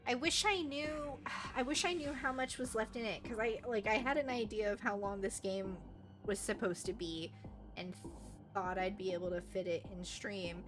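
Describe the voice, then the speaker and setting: Bubbly, expressive woman livestreamer. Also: medium pitch, normal speed. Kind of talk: casual live game stream chat with viewers.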